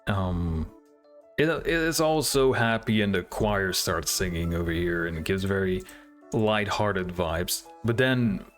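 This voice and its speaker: A man with a low-pitched voice gives casual, off-the-cuff reaction commentary. He sounds hesitant, thinking aloud as he goes. Music is clearly audible behind him. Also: animated, unhurried. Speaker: reaction video host.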